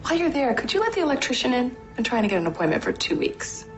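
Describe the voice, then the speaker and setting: An actress playing a warm, caring middle-aged stepmother in naturalistic TV film dialogue, with music behind her. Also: a little high, big pitch swings, fast. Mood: kindly.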